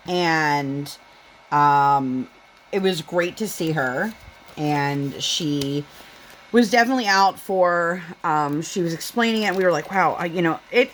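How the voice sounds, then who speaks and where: Chatty mom with a lowish voice. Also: female voice, a bit bright, a little hiss or hum, low-quality recording. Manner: chatty, rambling, lots of fillers, acts out quotes. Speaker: vlogger, mother. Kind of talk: casual storytelling chat while crafting. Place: at home.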